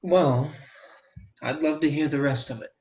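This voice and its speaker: A man's voice: a little high, unhurried, darkish tone. Man playing an earnest traveller, muffled lo-fi sound. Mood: curious. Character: earnest, polite, reserved traveller riding with a posse. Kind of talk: screenplay table read.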